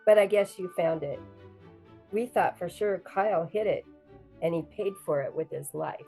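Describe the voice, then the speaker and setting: A spiteful, unrepentant female thief, played by a woman in a 1940s radio play. Her voice is mellow and a bit low, and she taunts brazenly. Music plays faintly behind. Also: moderate pace, gravelly. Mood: brazen, taunting. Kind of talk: 1940s radio drama acting.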